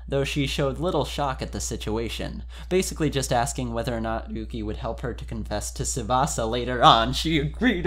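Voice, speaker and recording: A man with a mid-range voice reads fan fiction aloud as a host. His delivery moves between dramatic narration and casual, informal commentary. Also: mid-range voice.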